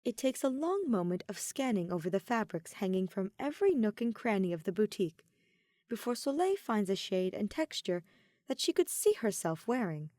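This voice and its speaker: A woman reading scripted fiction as a narrator, with expressive, measured storytelling. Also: medium pitch, no echo, clean studio sound. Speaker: podfic narrator.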